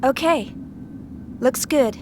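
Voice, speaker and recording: A woman's voice: sits high, slow, noisy background. A woman voicing a determined young female chief scientist in a video game cutscene. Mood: calm, focused. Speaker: game character voice actor. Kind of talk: English-language video game cutscene acting. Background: music.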